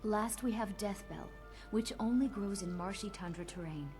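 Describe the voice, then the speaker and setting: An actress playing an ambitious young woman alchemist in a game, with a measured, eerie formal delivery. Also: medium pitch, a little mellow, soft background music, natural voice. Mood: earnest. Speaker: game character.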